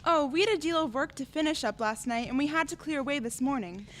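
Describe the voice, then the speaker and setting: A woman's voice: high-pitched, lively intonation, fast talker, a bit bright. A woman playing a sweet, dutiful child of a poor family in a radio drama, earnest and innocent. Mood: cheerful, caring. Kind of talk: old-time radio drama acting. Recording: reverberant hall, faint noise.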